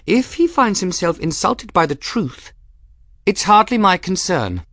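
A man acts out a proud young woman from an audiobook. The voice sits high and moves with lively intonation. Every word is cool, formal and exact, with open defiance. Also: a little slow, no echo, clean studio sound, exaggerated voice. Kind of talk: audiobook character voice.

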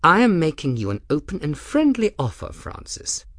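Arrogant sneering commodore, raised pitch, loud and contemptuous; a man doing the voice in a dry audiobook. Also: wildly swooping pitch, normal speed, no echo. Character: sneering arrogant male commodore, haughty and threatening.

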